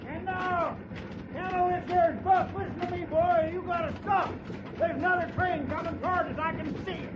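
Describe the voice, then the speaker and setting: Folksy engine driver, anxious, American accent, very high voice, 1950s radio western, noisy old recording. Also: male voice, steady tone, moderate pace, dull audio. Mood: urgent warning. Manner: plain, anxious rustic talk. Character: nervous, folksy male steam train engine driver.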